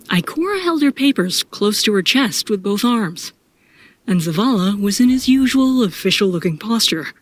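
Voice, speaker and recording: A woman's voice: medium pitch, a little hiss or hum. A woman reading scripted first-person narration for an audio drama.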